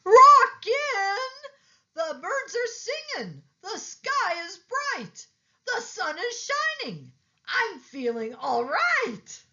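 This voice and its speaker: Casting: woman plays emotional little alligator, extremely high and bright, stretched-out storybook delivery. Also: very animated, drawn-out words, highs cut off, full character voice. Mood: thrilled. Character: sad, lonely alligator who turns excited, very high.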